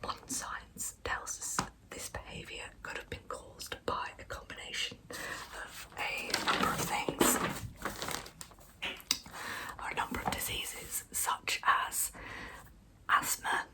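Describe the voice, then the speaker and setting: A woman narrating true crime as ASMR in a soft, breathy whisper, reading in a measured way with wry, informal asides. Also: poor audio. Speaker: ASMR storyteller and channel host.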